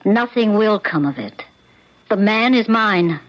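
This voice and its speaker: A mysterious woman played by an actress speaks in a medium-pitched American voice, cryptic and insistent. She sounds like an ominous visitor in a radio play, on a dull vintage recording. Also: animated, a little slow, lightly acted. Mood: knowing, insistent. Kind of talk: 1970s American radio drama acting.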